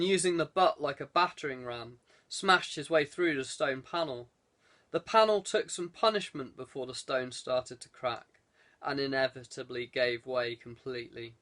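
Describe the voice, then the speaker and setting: A man's voice: a little high, fairly bright tone. A man reading an adventure novel aloud as scripted audiobook narration. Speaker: audiobook reader.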